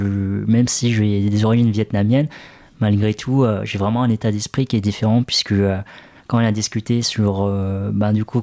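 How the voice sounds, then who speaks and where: Low-voiced man, halting and thoughtful. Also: warm tone. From France. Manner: hesitant, many "euh" fillers, thoughtful. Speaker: interview guest, restaurant co-founder. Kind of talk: casual interview talk.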